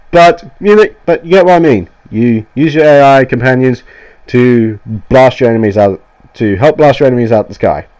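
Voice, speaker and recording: Man, nasal from a cold, mid pitch, rambling enthusiastic game reviewer voiceover. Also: a little mellow, poor audio. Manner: rambling, sweary, enthusiastic, restarts often. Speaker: gaming YouTuber. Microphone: voiceover mic.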